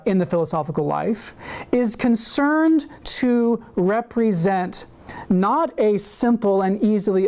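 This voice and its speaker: A male university lecturer reading aloud from a prepared paper. His delivery is measured and deliberate, with an occasional filler between clauses. He speaks as if to a seminar room. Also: high-pitched, darkish tone.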